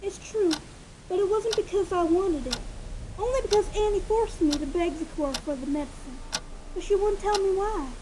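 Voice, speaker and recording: A woman's voice: extremely high pitch, normal speed. A woman voicing a frightened young filly, hesitant and childlike, in a dramatic fan fiction reading. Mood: afraid, earnest. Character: frightened young filly confessing dark secrets. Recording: noisy home recording.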